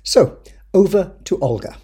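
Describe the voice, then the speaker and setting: A man hosting a podcast, reading a scripted introduction in a measured, lightly wry way. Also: sits high, lively intonation, dark tone, breathy edge. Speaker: podcast host.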